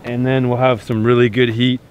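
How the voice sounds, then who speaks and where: A man's voice: medium pitch, little pitch movement, a little fast, muffled recording, slight background noise. A man, chatting casually to a handheld camera outdoors in the woods, easygoing and full of fillers and restarts. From Canada. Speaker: homesteading vlogger. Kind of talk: casual outdoor vlog talk. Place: outdoors in snowy woods and fields. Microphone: handheld camera at arm's length.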